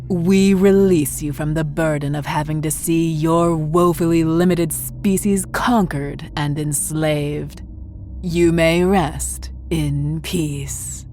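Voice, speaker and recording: A woman's voice: low voice, steady tone, takes it slowly, warm tone, soft background music. A woman voicing a male undead alien agent, a coldly formal invader with grandiose speech in an audio horror story. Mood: solemn, menacing.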